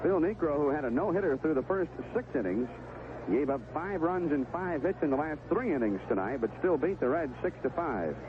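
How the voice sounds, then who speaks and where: A man's voice: a little high, expressive, brisk, phone-quality sound, noisy background. An American man calling baseball play-by-play in a live radio commentary style, on an old 1970s broadcast. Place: stadium broadcast position.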